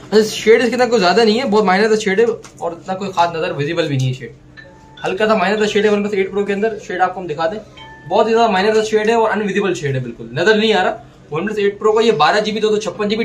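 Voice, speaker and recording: A young shop seller from Pakistan with a Karachi Urdu accent and a fairly high voice runs through phone prices in a brisk, repetitive patter, mixing in English number words. He is close on a lapel mic in a small indoor room, gesturing as he talks. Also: slight background noise. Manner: gestures and holds up phones while talking. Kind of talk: sales pitch vlog.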